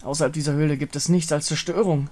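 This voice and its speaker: A man's voice: a little high, fairly even intonation, fast, a little mellow, lightly acted. Man voicing a grim, despairing moon goddess. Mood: bleak despair.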